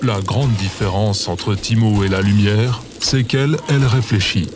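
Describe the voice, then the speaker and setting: Actor as low-pitched, solemn philosopher. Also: male voice, a little slow, music in the background, lightly acted. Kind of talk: comedic audio drama acting.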